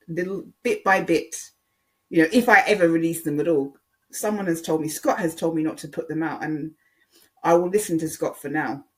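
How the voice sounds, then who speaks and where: Casual online commentator, mid-pitched, rambling and hesitant delivery with emphatic repetition. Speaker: online video creator.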